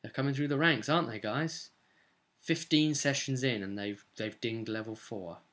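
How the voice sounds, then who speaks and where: Bright-voiced young British man, chatting casually. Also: medium pitch, normal speed. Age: 20s. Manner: rambling, conversational, with tag questions. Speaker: game master recapping sessions as vlogger. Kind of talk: casual chat to camera. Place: small indoor room at home.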